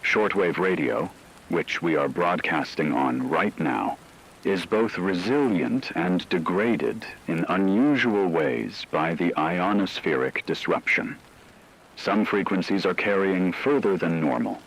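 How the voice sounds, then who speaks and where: A man playing a grave radio news anchor, reading a scripted emergency bulletin in a formal, measured, solemn way. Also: deep voice, slightly rough, exaggerated voice, poor audio. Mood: grave, steady. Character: grave male shortwave radio newscaster reporting global catastrophe.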